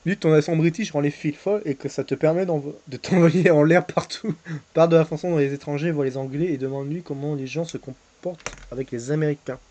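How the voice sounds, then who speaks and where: Man from France, quick joking commentary over a game, rapid-fire delivery. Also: fairly high pitch, steady tone, dark tone. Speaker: let's play commentator. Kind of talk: casual gaming commentary.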